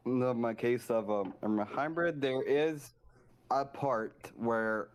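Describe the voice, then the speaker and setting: Man, mid-pitched, very slow pace, halting short phrases, casual guest. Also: clean tone. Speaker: livestream guest. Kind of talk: casual chat.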